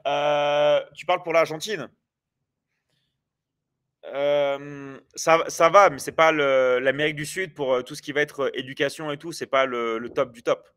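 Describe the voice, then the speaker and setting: A man's voice: fairly high pitch, steady tone, unhurried, a bit bright. A man in his forties hosting a casual livestream, chatting loosely with viewers and rambling with many hesitations and restarts. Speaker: livestream host.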